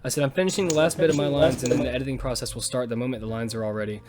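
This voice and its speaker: A man's voice: mid-range voice, steady tone, rapid-fire, a loud crowd. A young man hosting a podcast in casual, slangy banter. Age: young adult. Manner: informal, slangy, swears freely. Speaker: podcast host and audio fiction writer. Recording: music behind the voice. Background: music.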